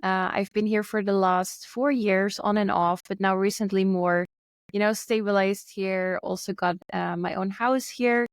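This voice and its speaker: A woman's voice: mid-range voice, steady tone, unhurried, clean tone, no echo, studio quality. A young woman, an entrepreneur, chatting as a podcast guest in a friendly storytelling way. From Netherlands. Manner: friendly, storytelling, light laughs. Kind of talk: casual interview conversation. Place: Bali, remote call.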